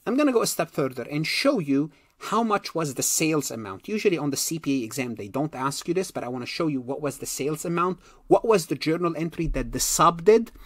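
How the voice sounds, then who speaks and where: A man's voice: medium pitch, no echo. A man giving an explanatory exam-prep lecture as an accounting instructor, emphatic and repeating phrases for clarity, on a close mic.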